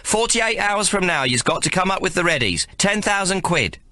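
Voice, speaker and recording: A man voicing a blunt young woman collecting a debt, speaking in rough, slangy street talk. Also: on the low side, animated, moderate pace, pushed and bright, no room sound, pitched above the performer's own voice. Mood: insistent.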